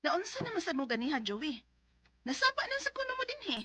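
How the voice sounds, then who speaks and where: A woman acting a defiant, sharp-tongued young mother in a radio drama, with a Cebuano Filipino accent. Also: high-pitched, big pitch swings, quick pace, a little hiss or hum, heavily acted. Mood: indignant.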